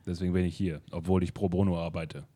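A man's voice: low-pitched, flat delivery, fast, mellow. A man playing a pompous, self-important detective in an improvised comedy radio play. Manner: pompous, lofty, condescending.

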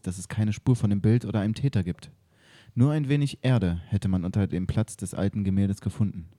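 A man's voice: fairly low pitch, dark tone, no echo. A man narrates and plays parts in an improvised audio drama. His voice sits in a middle range and sounds close and dry. He keeps a playful, giggly tone. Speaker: narrator and actor.

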